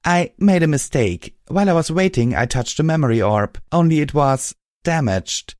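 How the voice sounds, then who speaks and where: A man voicing a young female unicorn pony, pitching up into a strained, pleading voice. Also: animated, moderate pace, darkish tone, no echo, clean studio sound, natural voice. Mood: anxious. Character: young mare unicorn pony, shaken and tearful.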